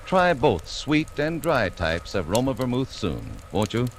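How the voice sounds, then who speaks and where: A man's voice: medium pitch, big pitch swings, measured pace. A man with an American accent reading sponsor copy as a 1940s radio announcer. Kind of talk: 1940s radio advertising read. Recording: noisy 1940s radio recording.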